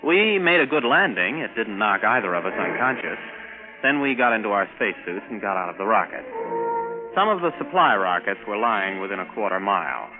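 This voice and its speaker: Easygoing young space pilot, lowish voice, tinny old radio drama. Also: male voice, sing-song, a little fast, music in the background. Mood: dramatic narration. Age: 20s. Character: easygoing young American rocket pilot captain. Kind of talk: 1950s radio drama acting and narration. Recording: noisy, narrow-band 1950s radio recording.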